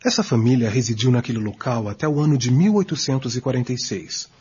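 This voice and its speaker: Very raspy male narrator. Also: mid-range voice, expressive, fast, darkish tone. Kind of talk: scripted documentary-like radio drama narration. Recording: dull lo-fi audio over music.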